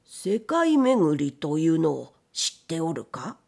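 Storybook role: brooding elderly gentleman female reader performing, commanding, unhurried, old-fashioned turns of speech. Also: on the high side, sing-song, gravelly, pitched below the performer's own voice, heavily acted. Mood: commanding, gruff. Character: stern, brooding blind old gentleman, master of the house.

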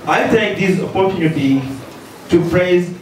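Senegalese man reading a formal welcome speech in an echoey hall. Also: sits high. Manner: measured, ceremonious, reading aloud. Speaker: association president. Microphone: handheld mic, filmed from a distance. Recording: echoey hall, distant, faint noise.

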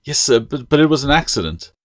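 Man doing a nervous young girl apprentice, raised pitch. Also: big pitch swings, fast talker, lightly acted. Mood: nervous, apologetic. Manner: apologetic, flustered, deferential.

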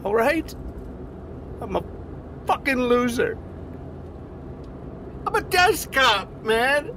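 An insecure, self-loathing cop, noisy background. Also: male voice, extremely high pitch, big pitch swings, full character voice. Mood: anguished outburst. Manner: halting, repeating himself. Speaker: actor in a scripted audio drama. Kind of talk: naturalistic audio drama acting.

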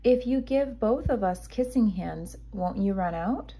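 A woman's voice: mid-range voice, expressive, measured pace, dark tone, breathy edge, poor audio. A woman voicing a sad, anxious little boy raccoon from a children's storybook, asking in a plaintive, childlike way. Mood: wondering. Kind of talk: children's storybook read-aloud.

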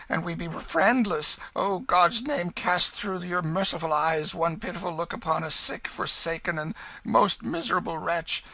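A man's voice: mid-range voice, pushed and bright, voice pushed up. A man voicing a wily, bullying beggar-thief in a storybook, blustering and cajoling in archaic rogue's speech.

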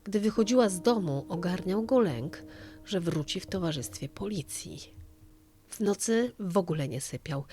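A woman's voice: fairly low pitch, a little mellow. A woman narrating a true-crime story as a dramatized audio reading.

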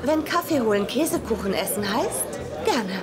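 A woman's voice: fairly high pitch, animated, normal speed, close to an everyday voice. A woman dubbing a direct, sensible young woman who talks bluntly and asks pointed questions. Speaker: dubbed sitcom character. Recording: loud bar music and crowd.

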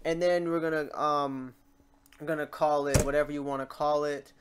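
A man hosting a casual software tutorial, informal and halting as he thinks aloud. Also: on the high side, flat delivery, slight background noise. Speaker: tutorial host. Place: indoors at a computer, keyboard typing behind.

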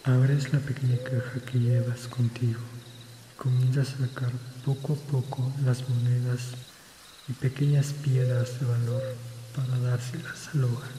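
A man narrating a scripted second-person ASMR story in a restrained, measured way. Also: medium pitch, level tone, dark tone. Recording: reverberant, noisy with insect ambience. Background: crickets and birds, night ambience.

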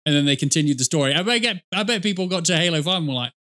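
A man's voice: on the high side, lively intonation, racing, pushed and bright. A man in his thirties giving casual, rambling commentary as he reacts to a game, often correcting himself and swearing. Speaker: reaction video host. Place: small indoor room at a desk with headset.

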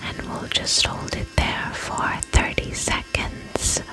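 Female ASMR performer as soothing spa attendant: whispered throughout, hushed and even, tender and reassuring. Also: high voice, over music. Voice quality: breathy unvoiced whisper. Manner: gentle, soothing, reassuring, unhurried. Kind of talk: ASMR personal-attention roleplay.

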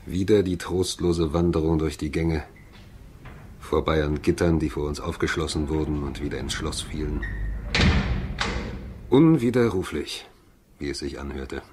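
A man's voice: a bass voice, a little slow, long pauses, mellow, a little hiss or hum. An actor playing a sombre, doubting male lawyer who narrates a German radio drama in a sober, grave manner.

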